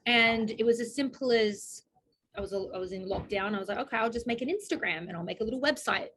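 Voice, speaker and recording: A woman's voice: a little high, fast talker, a bit bright, a little hiss or hum. A woman, a guest astrologer talking casually in an interview, laughing often and restarting her sentences. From Australia.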